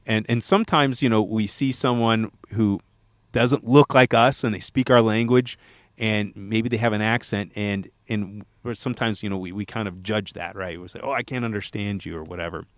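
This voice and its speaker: A man's voice: fairly low pitch, steady tone, moderate pace, no echo. A man co-hosting a podcast, chatting casually and rambling with many fillers and restarts. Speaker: podcast co-host.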